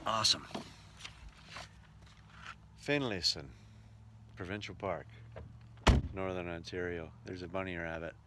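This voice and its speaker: Male travel vlogger, low voice, casual delivery, clearly noisy on-location sound. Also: unhurried, pauses often. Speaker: travelling musical entertainer and vlogger. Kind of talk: casual travel vlog narration. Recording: noisy on-location sound, road and ambient noise.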